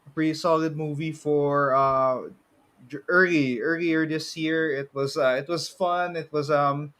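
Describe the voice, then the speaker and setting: A man's voice: fairly high pitch, fairly even intonation, measured pace, fairly bright tone. A man co-hosting a casual pop culture podcast, often repeating and rephrasing as he goes. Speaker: podcast co-host.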